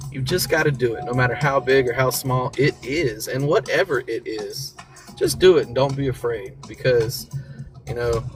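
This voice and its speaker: An American man with a mid-pitched voice talks over clearly audible music. He hosts a casual motivational show in slangy, encouraging language. He drives points home by repeating key phrases. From southern United States (Mississippi and Florida). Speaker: talk show host. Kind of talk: casual motivational livestream talk. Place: in a vehicle during a commute.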